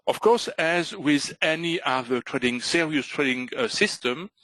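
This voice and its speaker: A man's voice: on the high side, unhurried, fairly bright tone, no room sound. A middle-aged Belgian man, not a native English speaker, presenting a live trading webinar with many fillers and restarts. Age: middle-aged or older.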